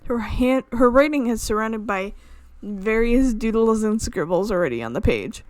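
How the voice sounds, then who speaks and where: A woman's voice: on the high side, expressive, moderate pace, darkish tone, dry recording. Playful woman reading a visual novel aloud. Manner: playful, stumbles and corrects words while reading. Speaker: gaming channel host.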